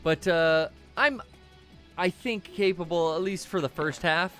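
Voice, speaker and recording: A man's voice: high voice, a little slow, a bit bright. A man in his thirties co-hosting a live game stream, chatting casually, laughing often and swearing freely. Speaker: streamer co-host. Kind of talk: casual gameplay commentary. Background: game music.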